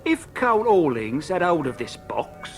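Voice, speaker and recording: A man's voice: fairly high pitch, big pitch swings, a little slow, soft background music. An actor playing a timid, nervous henchman in an audio drama, hesitant and stammering. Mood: curious, hesitant.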